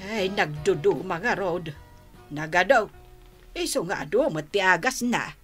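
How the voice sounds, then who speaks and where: A woman's voice: fairly low pitch, lively intonation, slow pace, slightly rough, faint music behind, full character voice. A woman acting in a radio drama as a scheming, coaxing middle-aged wife and mother with an Ilocano accent. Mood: concerned, curious.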